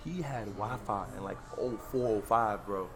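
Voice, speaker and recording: A man's voice: lowish voice, moderate pace, over music. A man in his late twenties from Florida, hosting a podcast and telling stories in a loose, rambling way with lots of fillers. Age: 20s. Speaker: podcast host. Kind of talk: casual podcast chat.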